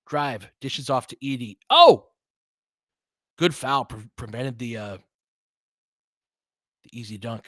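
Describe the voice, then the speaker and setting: A man's voice: mid-range voice, animated, slow, pauses often, fairly bright tone, no echo, clean studio sound. A man doing casual live basketball play-by-play and analysis, conversational and openly rooting for his team with exclamations on big plays.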